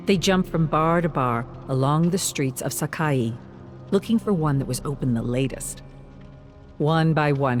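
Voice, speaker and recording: Wistful, measured story narrator over soft music. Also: mid-range voice. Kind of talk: dramatic scripted story narration.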